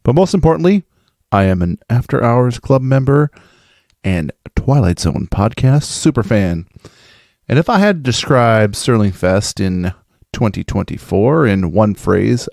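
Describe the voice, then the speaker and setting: An American man, a podcaster giving fan feedback in a casual recorded message, talking in an excitable, gushing way. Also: lowish voice, a little slow, gravelly, no echo, studio quality. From Minnesota, USA.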